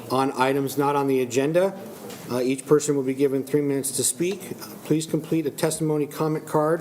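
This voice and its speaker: A man's voice: medium pitch, a little mellow, a little hiss or hum. A man, the mayor, chairing a city council meeting and reading out agenda items and speaking rules in a businesslike way.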